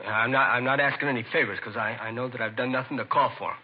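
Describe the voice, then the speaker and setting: An actor playing a remorseful, streetwise teenage addict confessing in slangy, halting phrases in a 1950s radio crime drama, on a muffled, hissy recording. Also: male voice, medium pitch, fairly even intonation, natural voice. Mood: contrite.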